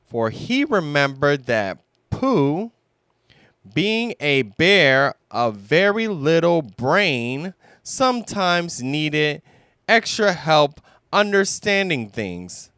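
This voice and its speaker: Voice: medium pitch, very animated, extremely slow, fairly bright tone, clear, resonant tone, dry recording, studio quality. A storyteller reading a scripted children's story aloud.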